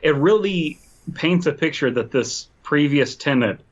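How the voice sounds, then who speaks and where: A man's voice: on the high side, animated, a little slow. A man co-hosting a podcast in casual, joking group banter on a dull-sounding mic. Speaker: podcast co-host.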